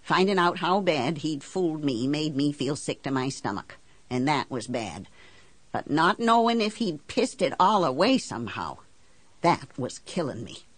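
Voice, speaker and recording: A woman acts out an island housewife with no polish and plenty of grievance, in an audiobook monologue. Her voice sits a little low and swings widely as she talks. The talk is folksy and indignant, full of country grammar, in a close, dry recording. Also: sing-song, measured pace, dry recording, exaggerated voice. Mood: weary, resentful. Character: blunt, embittered working-class island housewife telling her story. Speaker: audiobook narrator. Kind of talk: first-person storytelling in character.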